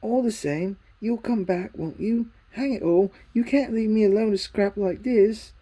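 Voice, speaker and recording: A woman's voice: mid-range voice, unhurried, dark tone, breathy edge, voice pushed up. A woman voicing an anxious, flustered young English boy in a storybook reading, pleading and exasperated.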